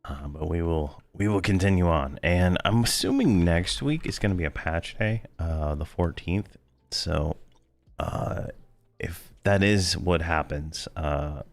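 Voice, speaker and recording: A man commentating his own gameplay in a rambling, laid-back way, full of fillers, his voice hoarse from losing it. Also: a bass voice, no echo. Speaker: game video host.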